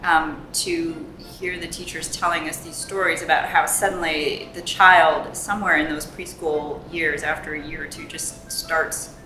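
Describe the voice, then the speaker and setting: A woman parent in a casual interview, telling a story in an animated way. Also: sits low, a little slow, hardly any pauses, reverberant, background noise.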